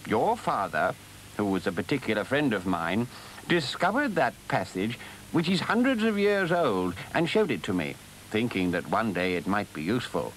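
A man voicing a stern, authoritative older badger in an animal storybook, grave, deliberate and commanding. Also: fairly low pitch, big pitch swings, fairly bright tone, a little hiss or hum, rough recording. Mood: severe.

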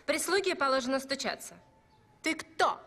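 Over clear background music, a snooty young rich girl in a cartoon. Her voice is high, and she sneers, boasts and bullies like someone born to be obeyed. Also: lively intonation, normal speed. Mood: accusing. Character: haughty, spoiled rich girl, bullying aspiring ballerina. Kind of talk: animated film dub acting.

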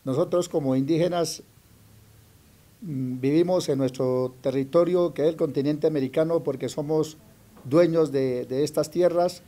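Man, mellow warm tone, slightly high, measured, earnest. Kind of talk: interview talk.

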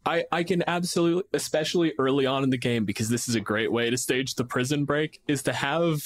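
A man with a mid-pitched voice talks casually as a podcast co-host. He reasons through game scenarios like a game master, illustrating points as he goes. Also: quick pace. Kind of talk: casual tabletop gaming discussion.

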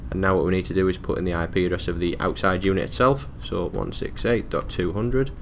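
A man presenting a technical support tutorial, talking through software steps in a casual, informal, matter-of-fact way. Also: deep voice, fairly even intonation, fast, gravelly, slight background noise. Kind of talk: casual step-by-step software walkthrough.

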